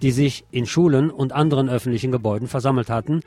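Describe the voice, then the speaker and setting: A man presenting scripted local news on the radio in a measured newsreader's delivery. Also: mid-range voice, dark tone.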